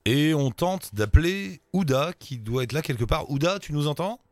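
A man's voice: on the high side, animated, moderate pace, dry recording. A man hosting a radio show from a studio, asking callers friendly and curious questions. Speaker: radio host. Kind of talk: call-in radio interview.

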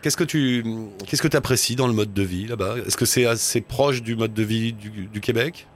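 A man hosting a radio show, asking relaxed, chatty questions at a studio mic. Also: lowish voice, normal speed, a little hiss or hum. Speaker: radio host. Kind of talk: radio interview.

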